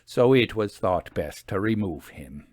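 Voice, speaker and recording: Man acting a lively, deferential railway signalman. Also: on the low side, unhurried, no echo. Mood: earnest, gossipy. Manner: deferential, colloquial, emphatic. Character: plain-spoken working railway signalman, respectful and matter-of-fact. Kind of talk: dramatised ghost story reading.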